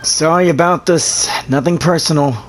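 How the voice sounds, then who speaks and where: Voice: mid-range voice, normal speed, slight background noise. A gamer giving casual commentary while playing and reading out dialogue.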